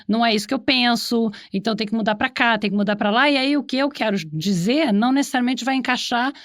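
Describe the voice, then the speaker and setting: A woman's voice: on the high side, fast talker, fairly bright tone, dry recording, studio quality. A woman hosting a conversational podcast interview, speaking emphatically and repeating words for stress. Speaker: podcast host.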